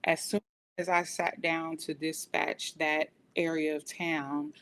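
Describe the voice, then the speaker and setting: A woman's voice: level tone, slow pace, a bit bright. An American woman talking in a conversational interview, explaining and recounting in a reflective way as a guest. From United States. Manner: reflective, explanatory, often says "you know". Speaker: podcast guest, police dispatcher. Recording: noticeable background noise, low rumble.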